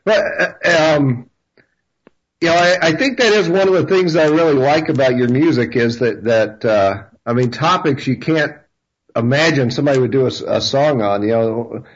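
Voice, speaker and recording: A man hosting a radio interview, speaking haltingly with many fillers and repeated words. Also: mid-range voice. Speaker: radio host. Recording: dull lo-fi radio sound.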